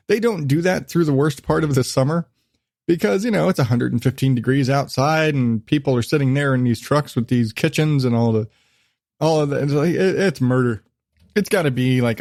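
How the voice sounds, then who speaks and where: A man's voice: mid-range voice, a little mellow, no room sound, clean studio sound. A man in his thirties hosting a casual solo podcast, rambling and swearing freely, still getting over a cold with a lingering cough. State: recovering from illness, lingering cough. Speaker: podcast host.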